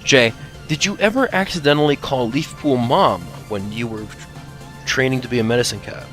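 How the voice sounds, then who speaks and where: A man reading questions as co-host of a fan Q&A in a playful, teasing, animated manner. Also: medium pitch, normal speed. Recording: faint music behind the voice.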